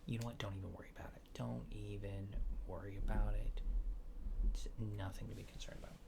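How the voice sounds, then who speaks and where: A man doing a softly spoken, often whispered ASMR roleplay as a mild-mannered alien space agent, murmuring hesitantly and casually. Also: fairly low pitch, fairly even intonation, a little fast, vocal fry, heavy background noise. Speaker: ASMR creator playing an alien abductor.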